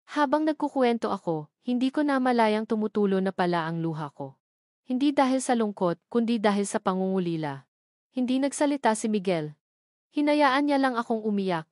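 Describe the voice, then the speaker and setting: A woman with a Filipino accent narrates a dramatic first-person love story in a sentimental, measured way, phrase by phrase. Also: a little high, lively intonation, darkish tone, no echo, clean studio sound.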